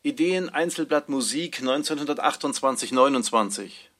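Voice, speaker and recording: A man's voice: mid-range voice, animated, moderate pace, fairly bright tone. A man playing an announcer who reads out scripted archive headings in a radio play. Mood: neutral.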